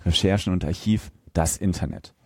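A man co-hosts a podcast in a fairly low, mellow voice. He banters informally and often cuts in with questions. The recording is very dry and close. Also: steady tone, moderate pace, no echo. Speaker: podcast co-host.

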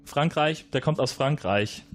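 A man's voice: a little high, a little slow, lightly acted. A man playing an evasive male suspect in a role-playing game, bluffing earnestly and repeating simple excuses. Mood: stubbornly evasive.

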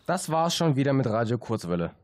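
A woman's voice: a bass voice, very animated, fairly quick, darkish tone, dry recording, studio quality. A woman presenting a radio show with a scripted sign-off delivery.

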